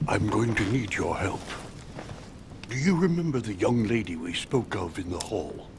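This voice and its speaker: Low-voiced, dignified statesman. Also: lively intonation, moderate pace, creaky, background noise. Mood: troubled, astonished. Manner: formal, courteous, grave. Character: dignified, earnest statesman, a president in period drama. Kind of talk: dramatic video game voice acting.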